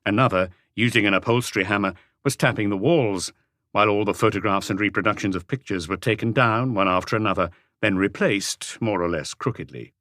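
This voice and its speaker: A man's voice: on the low side, fairly quick, fairly bright tone, no room sound, clean studio sound. A man reading scripted detective fiction as an audiobook narrator.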